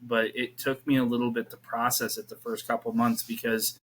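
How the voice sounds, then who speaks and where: A man in his thirties tells stories from his life as a youth pastor and talks about faith in a relaxed podcast chat. His pitch stays in a narrow, even band, and his sentences wander through fillers and restarts. He comes across as sincere and unpolished. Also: moderate pace, slightly rough. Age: 30s. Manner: earnest, rambling with many restarts and fillers. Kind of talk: casual faith conversation.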